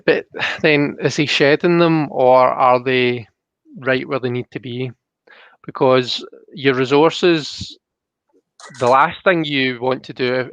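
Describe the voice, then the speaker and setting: A man hosting a live card reading, talking casually and explaining as he thinks aloud, with halting phrasing. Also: mid-range voice, fairly bright tone, no echo, highs cut off. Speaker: host of a live tarot reading.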